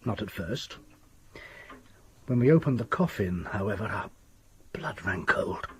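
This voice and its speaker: An actor playing a haunted, sardonic country doctor in a British radio drama, with an English accent, a husky breathy voice and theatrical, deliberate delivery. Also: male voice, fairly low pitch, expressive. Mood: macabre, hushed. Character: haunted country doctor confessing grave robbing, sardonic, fearful.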